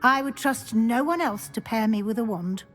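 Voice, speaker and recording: A proper, caring witch professor in a fantasy game. Her voice sits on the high side and she speaks with crisp authority, with soft music underneath. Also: moderate pace. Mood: brisk, instructive. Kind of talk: video game voice acting.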